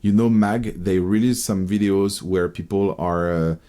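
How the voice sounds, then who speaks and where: A man with a French accent co-hosting a relaxed podcast interview. His voice sits a little low, and he talks in a playful, easygoing way, often repeating words. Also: unhurried. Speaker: podcast co-host. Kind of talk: casual podcast chat.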